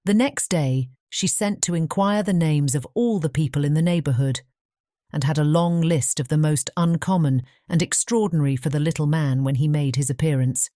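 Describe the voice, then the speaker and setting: A female storybook narrator with a British English accent. Her voice is low and mellow, and the recording is close and very dry as she reads the scripted tale. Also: normal speed, no room sound.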